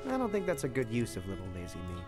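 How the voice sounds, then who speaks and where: A bored, sarcastic teenage boy in a video game, with a lively voice that swoops up and down. He throws off deadpan asides, and game music plays softly behind him. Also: mid-range voice, fast talker. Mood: wry. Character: restless teenage boy kept aboard a spaceship.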